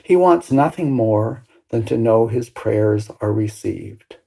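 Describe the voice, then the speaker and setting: An older woman over sixty reads a personal story expressively, with deliberate, dramatic phrasing and pauses. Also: very deep voice, lively intonation, gravelly, mellow, husky, rough recording. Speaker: writer reading own work.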